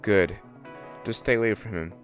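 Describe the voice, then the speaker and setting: A woman doing a deep-voiced brooding teen boy. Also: normal speed, faint music behind. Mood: protective. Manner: grave, warning tone. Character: brooding protective teen boy hero, low voice. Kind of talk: comic dub acting.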